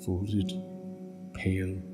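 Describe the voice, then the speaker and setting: A man narrating a literary story solemnly, in measured short phrases with dramatic pauses. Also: deep voice, monotone. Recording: long reverb, music bed. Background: music.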